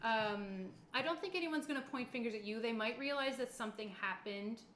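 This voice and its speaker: Woman game master, giggly and informal, higher register, echoey and noisy room recording. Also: a little fast, fairly bright tone. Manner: giggly, uses playful sound effects. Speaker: game master of a tabletop roleplaying game. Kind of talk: casual improvised game narration. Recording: echoey room with clear background hiss.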